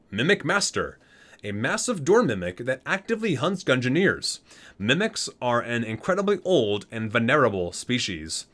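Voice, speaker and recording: A man with a medium-pitched, very animated voice reads aloud like a video creator presenting a book. He leans into titles and names with theatrical weight, then slips into casual asides in his own conversational way.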